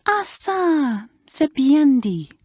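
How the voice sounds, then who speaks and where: A woman voicing an excitable French schoolmistress, with a put-on French accent, high and slow. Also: no echo, clean studio sound, exaggerated voice. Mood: approving.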